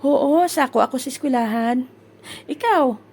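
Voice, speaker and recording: Actress as a high-voiced, affectionate Filipino fiancée. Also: female voice, normal speed, darkish tone, slight background noise. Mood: chatty. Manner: chatty, reassuring. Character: affectionate, eager young bride-to-be. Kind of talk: comic Filipino audio drama acting.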